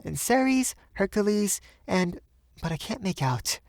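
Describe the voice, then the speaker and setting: A man voicing a shy, nervous young Parisian woman in an audiobook, soft and hesitant, with an earnest delicacy. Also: high voice, big pitch swings, measured pace, no room sound, clean studio sound, pitched above the performer's own voice, full character voice. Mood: timid, playful. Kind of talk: audiobook character voice.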